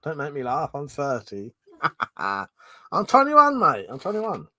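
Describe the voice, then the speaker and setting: A man's voice: a little high, takes it slowly, dry recording, studio quality. A man in his forties chatting casually on a live stream, reading out comments, swearing and laughing as he talks. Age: 40s. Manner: swearing, laughing, animated banter. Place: home room.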